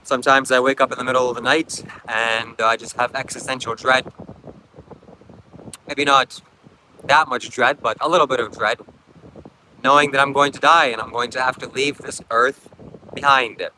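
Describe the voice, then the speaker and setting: A man's voice: mid-range voice, fairly even intonation, normal speed, pauses often, pushed and bright, rough recording. A man with a Ukrainian accent, English not his first language, chatting casually on a beach livestream and reading comments, coughing often and rambling reflectively. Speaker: livestream host. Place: outdoors at a beach. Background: faint wind.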